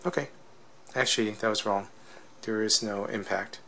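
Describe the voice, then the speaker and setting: A man's voice: on the low side, steady tone, normal speed, sharp tone, slight background noise. A man giving a casual, unscripted technical demonstration as a product reviewer, explaining step by step and often checking in with a questioning tag.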